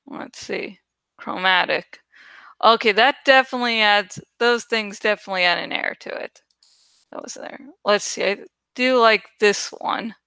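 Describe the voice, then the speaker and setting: A woman casually rambling as she edits artwork for her channel, thinking out loud, self-deprecating and swearing freely. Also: medium pitch, moderate pace, creaky, clear, resonant tone, no echo. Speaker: video creator and host. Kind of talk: casual rambling commentary while editing artwork.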